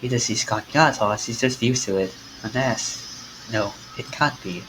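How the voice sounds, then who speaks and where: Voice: mid-range voice, measured pace, background noise. A streamer reading a visual novel's dialogue aloud, swinging their voice up and down between lines.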